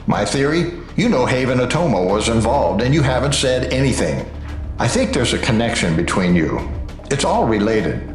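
A man's voice: mid-range voice, big pitch swings, normal speed, natural voice. An actor playing a pressing, suspicious male investigator in an audio crime drama. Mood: insistent. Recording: roomy echo with music.

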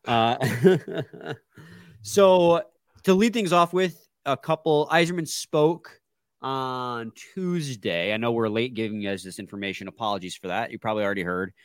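A man's voice: medium pitch, normal speed, dry recording, clean studio sound. A man with a stuffy, congested voice, hosting a casual sports podcast with laid-back, joking banter. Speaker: podcast co-host.

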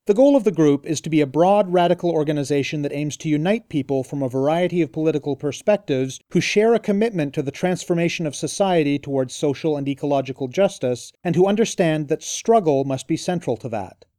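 A man hosting a radio interview, reading a measured scripted introduction. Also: a little high, mellow, no room sound, studio quality. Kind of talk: scripted narration.

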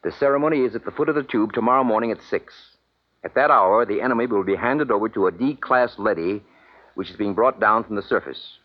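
A man playing a grave, restrained middle-aged father in a 1950s radio drama, husky and measured. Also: lowish voice, natural voice. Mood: grave, resigned. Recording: muffled vintage radio recording.

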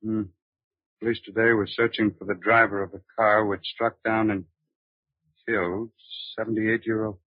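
A man with an American accent playing a hungover, shaken mayoral candidate in old-time radio drama style, with a husky voice. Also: fairly low pitch, flat delivery, normal speed, dry recording, close to an everyday voice. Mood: shaken, tense. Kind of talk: 1940s radio drama acting. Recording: muffled old radio recording.

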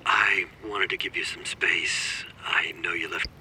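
An actor playing a tender, lovelorn man in an audio drama, speaking in a hushed, halting, intimate way. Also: sits low, steady tone, whispered, full character voice, low-quality recording. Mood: tender, wistful. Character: tender, lovelorn man giving someone space.

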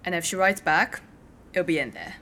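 A woman acting a brusque, impatient clerk in an audio drama, curt and sardonic with a deadpan, dismissive delivery. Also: lowish voice, moderate pace, slight background noise. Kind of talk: naturalistic fiction podcast acting.

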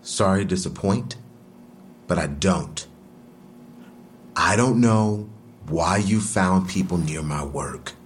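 A guarded street artist answers questions in an audio drama. His voice is a little low, and his delivery is natural, terse and defensive. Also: slow pace, pauses often, a little hiss or hum.

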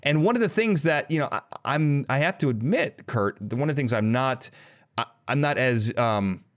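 A man's voice: medium pitch, expressive, normal speed. Rambling male podcast host, casual and enthusiastic.

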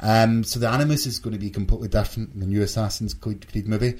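Man hosting, low and slightly husky. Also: steady tone, quick pace, a little mellow. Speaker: podcast host. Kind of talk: casual podcast banter.

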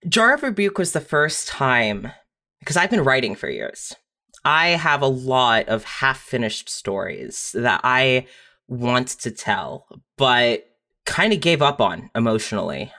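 Podcast guest, medium pitch, candid casual chat, frank writer's manner. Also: very animated, unhurried, sharp tone.

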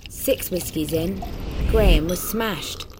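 A woman narrating a scripted literary story with a husky voice. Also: on the low side, slow pace, noisy background.

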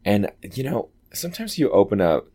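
A man with a low voice, an actor telling stories in a casual interview and acting out quoted lines. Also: a little fast, slightly rough. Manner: animated, chatty, acts out quoted dialogue. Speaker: Broadway actor guest.

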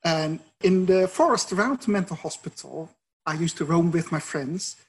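Dutch guy, middle-aged prof, kinda husky voice, laid-back explaining his research. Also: a little high, moderate pace, rough recording. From Netherlands. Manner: thoughtful, explanatory storytelling. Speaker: professor and researcher. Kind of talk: conversational interview answers.

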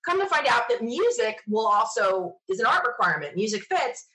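A woman's voice: fairly high pitch, brisk, rough recording. Chatty woman telling personal stories. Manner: chatty, animated quoting of self and others. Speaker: interview guest. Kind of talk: casual personal storytelling.

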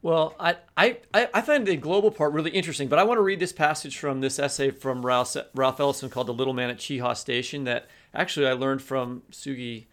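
A man's voice: medium pitch, brisk, few pauses, a bit bright. A man co-hosting a literary podcast, discursive and restarting sentences as he builds his point. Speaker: podcast co-host, teacher.

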